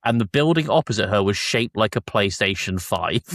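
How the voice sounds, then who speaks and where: A man's voice: fairly low pitch, expressive, normal speed, a bit bright, no echo, studio quality. A man hosting a podcast in casual review chat, with a sweary, exasperated ranting way of talking. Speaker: podcast host.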